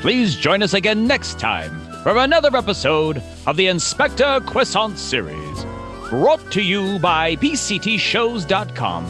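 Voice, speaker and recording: A man plays a showy narrator for a radio mystery. His voice is high and wildly animated, swooping through each phrase. Music plays clearly behind him. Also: measured pace, heavily acted. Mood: grand. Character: showy old-time radio mystery announcer. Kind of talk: radio drama sign-off announcing.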